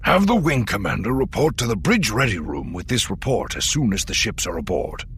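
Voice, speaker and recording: A man's voice: lowish voice, very animated, brisk, fairly bright tone, slight background noise, pitched above the performer's own voice. A man voicing an older, icy, regal military captain with clipped commands in a space-opera audiobook. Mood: curt command. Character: stern older male Imperial starship captain, icy, commanding.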